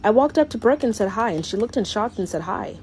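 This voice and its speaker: A woman's voice: medium pitch, expressive, fast talker, darkish tone, slight background noise. A woman narrating a scripted confessional story in short, plain statements.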